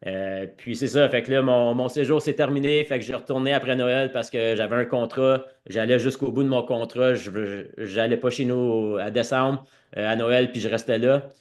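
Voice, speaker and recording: Male podcast guest, French Canadian accent, medium pitch, conversational storyteller who mixes in English words. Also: fairly even intonation, normal speed. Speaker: podcast guest, former hockey player.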